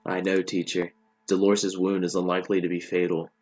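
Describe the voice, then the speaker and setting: A man's voice: sits low, little pitch movement, fairly quick, a little mellow, faint music behind. A man voicing a polite, literal-minded machine assistant in a story, formal and deferential. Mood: matter-of-fact. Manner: formal, deferential, matter-of-fact.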